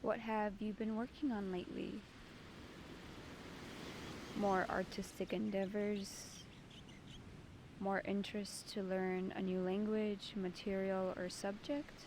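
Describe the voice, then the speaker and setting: A woman leading a guided meditation as its host, giving soothing, deliberate instructions in short phrases. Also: medium pitch, flat delivery, noisy background.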